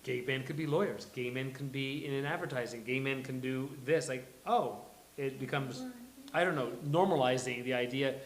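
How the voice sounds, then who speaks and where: A man's voice: mid-range voice, normal speed, a little hiss or hum. Man hosting in an echoey room. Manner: conversational, thinking aloud. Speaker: host of a live table read discussion. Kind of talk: casual panel discussion. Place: live venue with a small studio audience.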